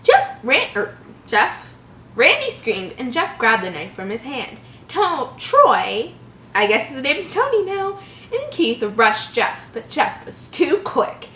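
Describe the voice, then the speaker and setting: A woman in her early twenties reading a story comedically, animated, with casual mocking commentary. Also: high-pitched, slow. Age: early 20s. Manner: giggly, mocking asides, animated. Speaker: channel host. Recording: roomy echo, faint background noise.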